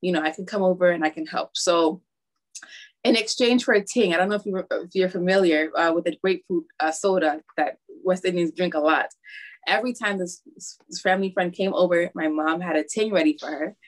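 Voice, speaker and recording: A woman from Harlem, New York, answers interview questions in a somewhat low voice. She talks candidly and casually, pausing on fillers as she recalls things. She sounds like a math teacher speaking about her own path. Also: low-quality recording. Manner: candid, with hesitant fillers and soft laughs.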